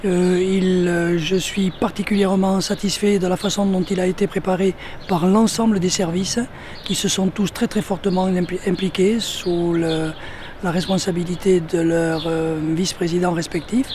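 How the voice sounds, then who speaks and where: High male voice, mellow, formal, measured, local official, interview remarks. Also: little pitch movement, slight background noise.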